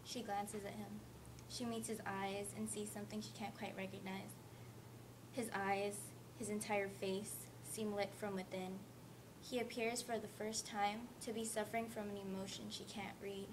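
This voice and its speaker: Woman, literary read-aloud, steady and careful, over a loud rumbling noise. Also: moderate pace. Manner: careful, steady narration with voiced quotes. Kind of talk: reading a novel excerpt aloud.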